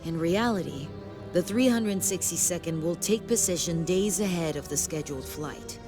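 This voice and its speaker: An actress playing a stern, authoritative sci-fi military commander in a video game, with formal, clipped briefing delivery. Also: female voice, on the low side, moderate pace, music in the background, close to an everyday voice. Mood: grave, resolute. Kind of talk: video game cutscene acting.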